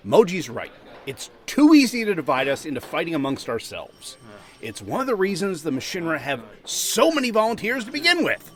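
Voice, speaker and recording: A man's voice: on the high side, huge pitch swings, normal speed. An actor playing a caring, protective, exasperated man in an audio drama. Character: caring, protective young man defending his ally.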